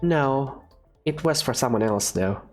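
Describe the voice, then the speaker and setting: Wry female professor, man doing the voice, measured lecturing, lively pitch, dry teasing. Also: soft background music, close to an everyday voice. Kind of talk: fan visual novel voice acting.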